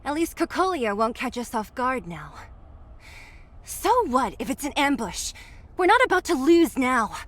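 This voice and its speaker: Feisty high-voiced young heroine. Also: female voice, very animated, a little slow, fairly bright tone, heavily acted. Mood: defiant. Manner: feisty, plain-spoken, resolute. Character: tough, determined young woman fighter. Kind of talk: anime-style video game voice acting.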